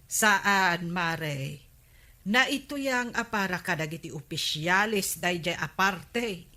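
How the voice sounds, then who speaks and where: A woman with an Ilocano accent playing a sharp-tongued, nagging village wife in a radio comedy drama. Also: on the low side, expressive, slow pace, full character voice. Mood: reluctant.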